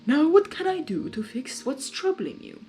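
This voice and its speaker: A woman voicing a female zebra sage in a story, speaking in measured rhymes as she gives advice. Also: sits high, sing-song, mellow, faint music behind, voice pushed up. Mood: soothing.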